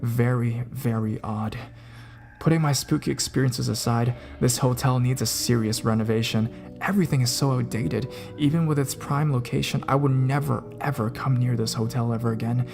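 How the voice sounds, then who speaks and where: A man calmly narrating reader-submitted ghost stories in a measured, scripted way over guitar music. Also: medium pitch, vocal fry. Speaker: narrator of a ghost story channel.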